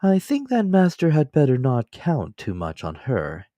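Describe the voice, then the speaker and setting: A man voicing a young manservant in an audiobook, unflappably calm, polite, formal and deferential. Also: medium pitch, a little slow, no echo, dull audio, voice pushed up. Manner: polite, formal, addresses master in third person. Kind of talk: dramatic audiobook character reading.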